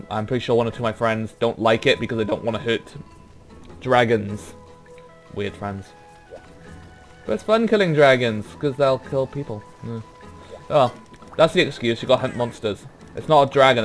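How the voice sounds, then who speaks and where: Welsh guy rambling through a game video, relaxed, lots of ums, quiet game music behind. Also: medium pitch. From Wales. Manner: rambling, laid-back, trails off with ums. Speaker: gaming YouTuber. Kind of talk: casual let's play commentary.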